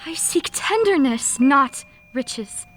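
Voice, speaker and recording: A woman's voice: sits high, expressive, takes it slowly, a bit bright, faint music behind, exaggerated voice. A woman playing a sorrowful young fiancée, earnest and formal, in a radio play. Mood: sad, resolute. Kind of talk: old-time radio drama acting.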